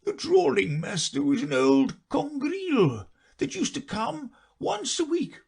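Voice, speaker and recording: A man voicing the Mock Turtle, a sobbing, self-pitying storybook creature who wails his words slowly. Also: huge pitch swings, vocal fry, a little mellow, slightly rough, full character voice. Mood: mournful. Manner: slow tearful wail, high and quavering.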